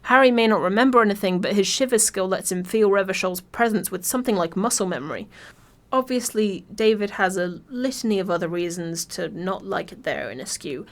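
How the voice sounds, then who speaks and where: An English woman narrates a scripted video essay at a middling pitch. Her delivery is wry and informal, with casual swearing mixed into considered prose. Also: brisk. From Birmingham, England.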